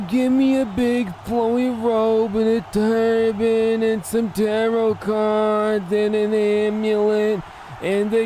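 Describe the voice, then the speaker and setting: A man's voice: high-pitched, darkish tone, slight background noise. A man playing a huge, self-important, gluttonous mob boss in an old-time radio comedy, with a domineering, indulgent manner.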